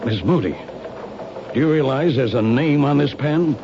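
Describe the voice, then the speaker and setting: A man's voice: mid-range voice, animated, normal speed, gravelly. A man playing a wry, probing police lieutenant in a 1970s radio mystery. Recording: dull noisy old radio broadcast recording.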